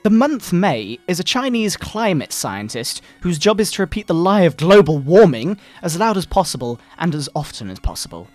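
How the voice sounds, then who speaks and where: Man putting on a pompous, high-pitched fire-and-brimstone moral crusader. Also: very animated, a little mellow, no echo, soft background music. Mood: scornful, preachy. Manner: pompous, melodramatic preaching with drawn-out words. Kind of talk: mock-dramatic satirical reading.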